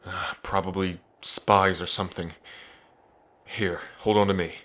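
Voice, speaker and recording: Guy doing a devoted dragon prince for asmr roleplay, really deep voice, pretty flat and earnest. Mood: alarmed, urgent. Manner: slow, earnest, with long pauses between phrases. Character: lovestruck dragon prince, grieving, tender, devoted. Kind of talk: ASMR roleplay, intimate dramatic monologue.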